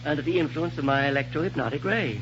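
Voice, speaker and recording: An actor playing a kindly, absorbed scientist in 1930s radio drama style, proud and precise with the odd stammer. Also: male voice, a little high, fast, lightly acted. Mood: proud, reassuring. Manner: hesitant stammers, proud precise enunciation of inventions. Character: kindly, absorbed, eccentric male scientist inventor. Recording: noisy, muffled 1930s radio recording.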